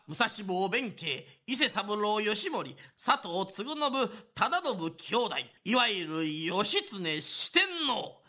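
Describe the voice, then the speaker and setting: A man's voice: high-pitched, pushed and bright. A man narrating a radio drama as a traditional Japanese storyteller, in a theatrical scripted kodan style.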